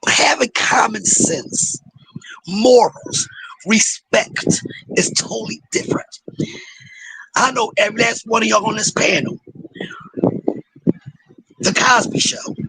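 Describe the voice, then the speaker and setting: Hoarse, high-voiced man in a heated debate. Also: takes it slowly, long pauses, pushed and bright, no room sound, rough recording. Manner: preachy, repeats "you understand?". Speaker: panelist.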